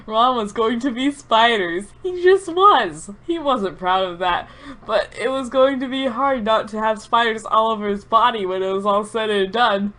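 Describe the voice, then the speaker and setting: A woman's voice: a little high, lively intonation, moderate pace, a bit bright. A woman reading an absurd story aloud with mock-serious drama, often breaking into giggles.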